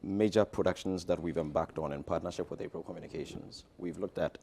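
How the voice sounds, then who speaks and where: A man with a deep voice and a Ghanaian accent talks in a promotional interview. He is emphatic and likes to repeat a phrase to drive it home. Faint noise sits behind him. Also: fairly even intonation, fast, gravelly, warm tone. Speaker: guest, stage play director.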